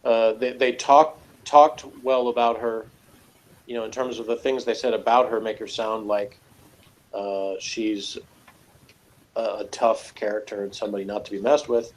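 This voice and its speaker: Low-pitched rambling man, faint background noise. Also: flat delivery, moderate pace, rough recording. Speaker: review show co-commentator. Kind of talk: casual TV review discussion.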